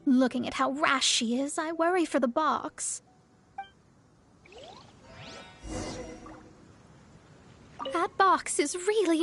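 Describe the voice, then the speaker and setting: Proud young astrologer girl from an anime game, high voice, pompous n exasperated, bit of music behind. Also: a bit bright. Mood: worried, grumbling. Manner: pompous, theatrical, sighing.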